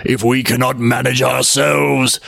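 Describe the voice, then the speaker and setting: A man voicing a furious, menacing whirlwind spirit in a storybook tale, with shouted, drawn-out words. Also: mid-range voice, flat delivery, gravelly. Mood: exhausted, indignant.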